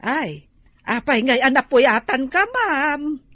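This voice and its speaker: Radio drama: deferential Ilocano eatery keeper, plaintive, unhurried, sharp bright tone, dry recording. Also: female voice, high voice, expressive, no echo, exaggerated voice. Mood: sympathetic, plaintive.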